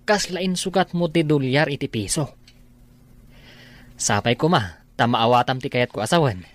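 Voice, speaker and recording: A man's voice: medium pitch, normal speed, slight background noise, natural voice. An actor playing a friendly, concerned male buddy in a radio comedy drama. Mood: concerned, urging.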